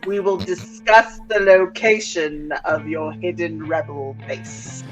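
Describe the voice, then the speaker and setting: A man playing a menacing dark lord in a comedic amateur table read. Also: on the high side, fairly even intonation, slow, soft background music, poor audio. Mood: slow menace.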